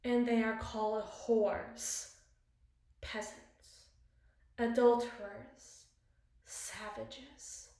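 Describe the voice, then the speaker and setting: A woman playing a battle-scarred, commanding evil queen in an intimate ASMR roleplay, speaking formally and wistfully with deliberate, theatrical pauses. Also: fairly high pitch, darkish tone. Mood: bitter. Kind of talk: ASMR roleplay, slow dramatic monologue. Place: small bathroom, indoors. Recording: echoey tiled bathroom.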